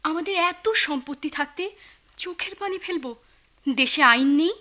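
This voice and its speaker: An actress playing a hot-headed, defiant young orphaned daughter in a radio play, challenging and indignant. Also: female voice, high voice, moderate pace, sharp tone. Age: young adult. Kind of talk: Bengali radio play acting.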